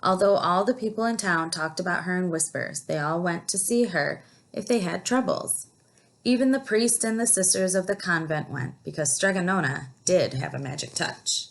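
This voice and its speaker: A woman's voice: fairly low pitch, gravelly. A woman teacher reading a story aloud to children, explaining and asking questions as she goes. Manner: explanatory, pauses to ask questions. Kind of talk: picture book read-aloud with asides to young listeners.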